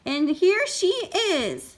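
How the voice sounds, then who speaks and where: Woman as little boy bear cub, wee high voice, plaintive, upset, storybook. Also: sing-song, takes it slowly, slight background noise, exaggerated voice. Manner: plaintive, crying out.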